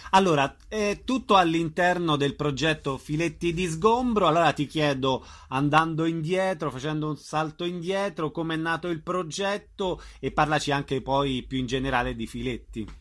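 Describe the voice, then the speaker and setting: A man's voice: fairly high pitch, moderate pace, few pauses, a bit bright. A man working as an interviewer, putting questions in a conversational style.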